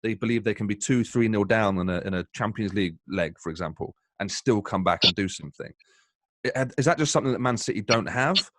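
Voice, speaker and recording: A man's voice: on the low side, fast, fairly bright tone, no room sound. A man hosting a casual football podcast, asking long, jokey questions. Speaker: podcast host.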